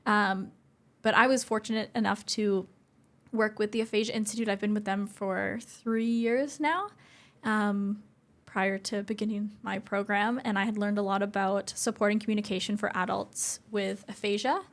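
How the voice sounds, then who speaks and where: A woman's voice: mid-range voice, steady tone, normal speed. Young woman, earnest explainer. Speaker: interview guest, communication support specialist. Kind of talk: explanatory interview talk.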